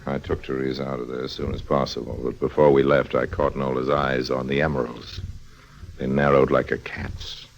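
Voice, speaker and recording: A man's voice: very deep voice, little pitch movement, moderate pace, gravelly. A man acting a suave, roguish con artist in an old-time radio drama, wry and nonchalant. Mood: confiding narration. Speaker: lead actor and narrator. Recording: dull old radio recording.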